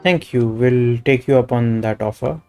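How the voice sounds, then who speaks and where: A man's voice: medium pitch, normal speed, warm tone. A man with an Indian accent streaming a game, reading dialogue aloud and adding casual comments. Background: game music.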